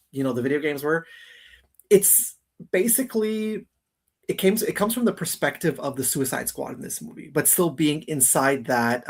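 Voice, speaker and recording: Husky man in casual chat. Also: medium pitch. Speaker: co-host of a live geek countdown show.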